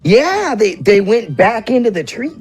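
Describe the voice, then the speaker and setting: A man voicing a talking tavern cat, a simple creature fond of food, with drawn-out replies in a tabletop game. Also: high voice, sing-song, slightly rough, faint music behind, voice pushed up, exaggerated voice. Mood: helpful.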